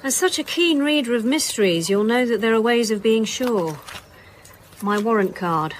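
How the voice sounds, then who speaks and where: An actress playing a brisk, sceptical detective inspector in a radio drama, British accent, probing and dryly insistent. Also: female voice, fairly high pitch, expressive, slight background noise, close to an everyday voice. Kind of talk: naturalistic radio drama acting.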